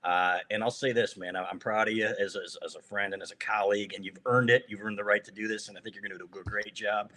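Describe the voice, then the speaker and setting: Teasing American sports host, lower-pitched man. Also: fairly even intonation, quick pace, hardly any pauses, sharp tone, low-quality recording. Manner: informal, teasing, buddy-like. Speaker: sports podcast host interviewing a colleague. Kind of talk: casual joking sports chat.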